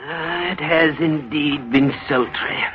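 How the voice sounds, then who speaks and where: A man's voice: on the high side, slow. A man playing a grumbling male beggar who complains about hard times and bows to his master, in 1930s radio drama acting. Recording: dull 1930s radio recording.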